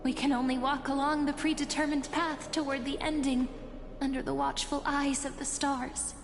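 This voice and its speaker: An actress playing a calm, defiant young heroine in a video game, reasoning firmly against a foe. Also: female voice, sits high, normal speed. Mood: calm, resolute. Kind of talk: video game story voice acting. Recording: reverberant game audio under music.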